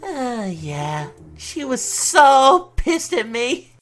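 Woman doing a sassy flirty demon gigolo guy, cartoon dub, slangy, real bouncy pitch. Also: sing-song, very slow, soft background music, heavily acted. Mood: exasperated. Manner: sassy, slangy, sugary pet names. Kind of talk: comic dub voice acting.

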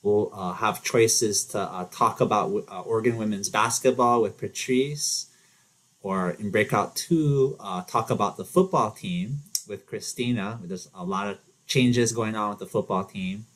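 A middle-aged man hosting an online event over a video call, relaxed and full of fillers and restarts. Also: on the low side, unhurried, rough recording. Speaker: event host. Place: home, virtual background.

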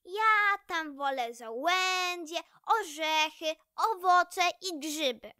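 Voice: very high-pitched, slow pace, bright, forceful tone, no echo, clean studio sound, exaggerated voice. A young performer voicing a small, wary, proud female red squirrel, pert and childlike, in a children's story. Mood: indignant.

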